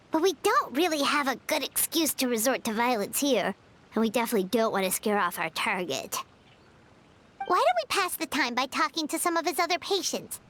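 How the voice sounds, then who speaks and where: Casting: tiny cheeky fairy companion for a game; high, squeaky, very animated. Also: female voice, a little hiss or hum. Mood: dry, grumbling. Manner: chirpy, expressive, squeaky cartoon delivery. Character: small, perky, cheeky childlike fairy companion girl. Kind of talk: animated video game voice acting.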